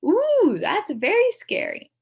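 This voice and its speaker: A woman voicing an excitable, friendly school staffer from a storybook, gushing and theatrical. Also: very high voice, takes it slowly, voice pushed up, exaggerated voice. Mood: mock fright.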